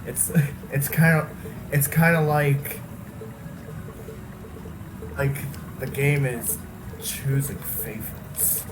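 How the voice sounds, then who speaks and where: A gaming commentator with a mid-pitched voice talks slowly and haltingly, circling back over phrases. The delivery is casual, half commentary and half improvised role-play, with loud game music underneath. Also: poor audio. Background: loud video game music.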